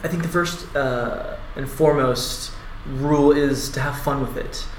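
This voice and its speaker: Man, native English speaker, easygoing manner, mid pitch, echoey room, faint noise. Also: a little slow. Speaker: guest, language learner. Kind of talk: casual panel discussion.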